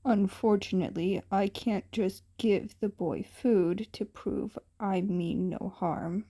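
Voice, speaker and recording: A woman voicing a gruff, weary male hero in a story reading, dry and low-key but soft-hearted underneath. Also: steady tone, takes it slowly. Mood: gently wry.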